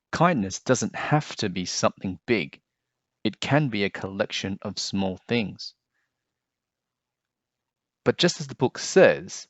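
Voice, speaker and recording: A man reading a picture book aloud and then talking to children about it, explaining things gently and simply. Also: mid-range voice, no echo, highs cut off.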